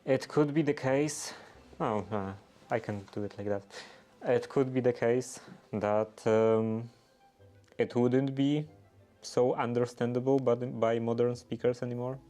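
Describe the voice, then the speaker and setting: A man with a Polish accent talks slowly in a flat, unhurried voice, stopping often to search for words as he answers viewers on a livestream. His tone is mellow and soft-edged, with faint music playing behind him. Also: medium pitch. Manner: hesitant, thinking aloud with many fillers and restarts. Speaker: livestream host.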